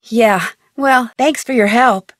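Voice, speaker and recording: A woman's voice: on the high side, takes it slowly, no room sound, clean studio sound. A woman voicing a curious, polite young amateur detective in a video game, asking earnest questions. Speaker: player character.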